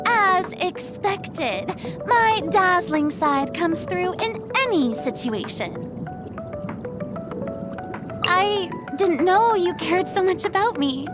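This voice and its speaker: A woman's voice: very high-pitched, expressive, slow, exaggerated voice. A woman voicing a proud, theatrical young female archon in a video game, with a pompous, flamboyant delivery. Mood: smug. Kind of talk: video game character voice lines. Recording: music behind the voice. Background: music.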